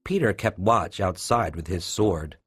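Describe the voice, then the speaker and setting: A man's voice: low-pitched, a little slow. A narrator, a man, reading a scripted children's story.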